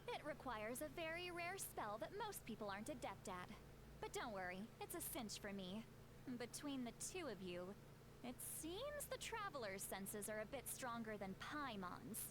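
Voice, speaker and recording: A high-voiced, spirited elderly shaman woman from a video game, who sounds younger than her years. She talks chattily and theatrically, fretting as she goes. Clear ambient noise runs behind her. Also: very animated, moderate pace. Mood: confident. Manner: chatty, theatrical self-questioning. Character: elderly female shaman trying to sound youthful, spirited, fretful.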